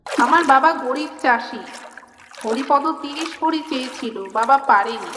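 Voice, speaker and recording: An actress playing the vengeful ghost of a drowned young bride, dramatic and accusing, in a horror audio drama. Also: female voice, sits high, a little slow, background noise. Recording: long echoing reverb over music.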